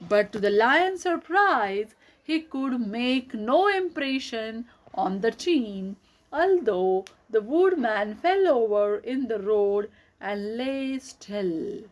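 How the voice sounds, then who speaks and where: Female children's storyteller, high pitch, very animated melody, reading slowly. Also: rough recording. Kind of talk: children's audiobook narration.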